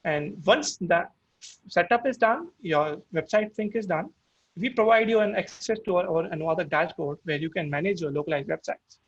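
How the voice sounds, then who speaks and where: A man's voice: high voice, expressive, moderate pace, husky, muffled recording. A man with an Indian accent and Hindi as his first language, giving a webinar product demo, explaining step by step with frequent restarts. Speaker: product presenter.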